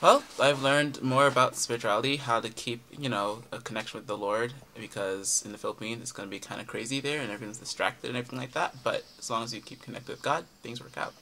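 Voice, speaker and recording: A man's voice: mid-range voice, steady tone, fast, hardly any pauses, pushed and bright, a little hiss or hum. A nineteen-year-old man from Michigan with an American accent, giving loose, jokey, casual interview answers. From Michigan, United States. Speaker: mission trainee being interviewed.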